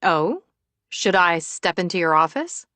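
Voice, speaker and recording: A woman voicing a brisk, dry-humored, stubborn young farm manager in an audiobook. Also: fairly low pitch, big pitch swings, sharp tone, dry recording, exaggerated voice.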